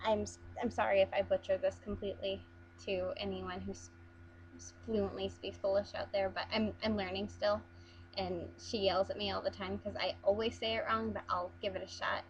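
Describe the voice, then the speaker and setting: A woman's voice: mid-range voice, flat delivery, moderate pace, slight background noise, rough recording. A young woman from Las Vegas chatting casually through an accent challenge, hesitant with frequent ums and restarts. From Las Vegas, USA. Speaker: vlogger.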